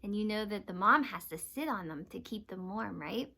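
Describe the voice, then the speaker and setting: A woman's voice: medium pitch, expressive, moderate pace, vocal fry, sharp tone, slightly rough. A woman teacher giving a read-aloud lesson to young children, explaining simply and asking them questions. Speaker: teacher reading aloud to students.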